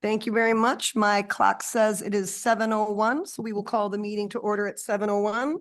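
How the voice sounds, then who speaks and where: A woman chairing a township board meeting in a meeting room, running the agenda in a brisk, friendly, procedural way. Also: medium pitch, steady tone.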